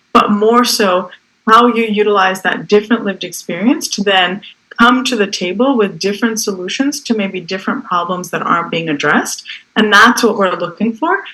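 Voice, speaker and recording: A woman's voice: mid-range voice, bright, forceful tone, poor audio. A woman born in India, the managing director of a venture fund, explaining its focus fluently in an interview over a video call. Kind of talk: interview answers.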